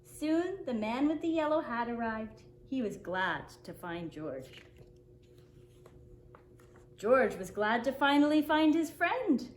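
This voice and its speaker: A woman reading a children's picture book aloud as a story-time host, in an expressive, deliberate storytelling manner for young children. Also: fairly high pitch. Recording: roomy echo, faint background noise.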